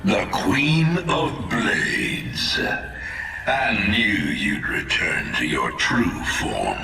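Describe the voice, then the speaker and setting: A man's voice: on the low side, huge pitch swings, extremely slow, over loud music, full character voice. A man playing a smug, threatening tyrant in a game, with an imperious, gloating manner and an echoing, distant sound.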